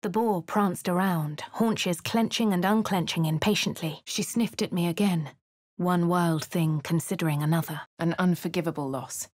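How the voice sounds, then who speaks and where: A woman with a posh English accent giving refined, measured first-person narration for a game character. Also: lowish voice, fairly even intonation, darkish tone, dry recording. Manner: refined, measured, clipped delivery. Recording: dry studio voice-over.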